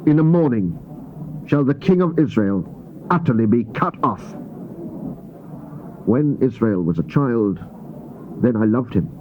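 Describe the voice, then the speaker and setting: Stern male prophet, played by an actor, mid-pitched, solemn declamatory scripture delivery for dramatized Bible, muffled noisy tape. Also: close to an everyday voice. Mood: stern exhortation, then solemn sorrow. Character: stern male Old Testament prophet proclaiming God's judgment. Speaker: scripture reader. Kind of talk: dramatized King James Bible reading.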